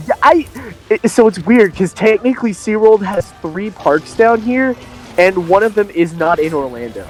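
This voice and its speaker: A man's voice: sits high, lively intonation, normal speed, darkish tone. A young American man from Florida bantering casually with friends on a game stream, slangy and profane, repeating phrases for emphasis. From Florida, United States. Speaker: game streamer in a group call. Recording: music behind the voice. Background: music.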